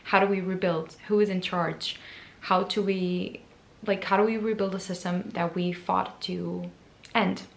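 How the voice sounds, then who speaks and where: Woman book vlogger, hoarse and gravelly today, chatty and self-mocking, echoey room. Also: fairly low pitch, fairly even intonation, unhurried. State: hoarse, raspy voice from screaming. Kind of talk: casual book chat to camera.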